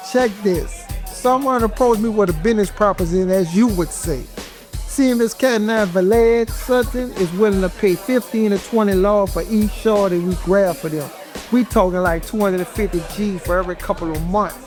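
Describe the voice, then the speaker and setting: An eager young hustler played by a man in an audio drama, with a strikingly high male voice. He talks in slangy, scheming patter over light music. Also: moderate pace, darkish tone, close to an everyday voice.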